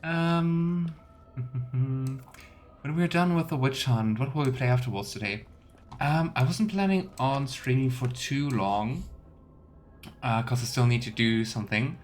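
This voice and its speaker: A man's voice: medium pitch, a little slow. A man giving casual, musing let's play commentary as a game streamer, with playful asides to his viewers. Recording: faint noise with keyboard clicks.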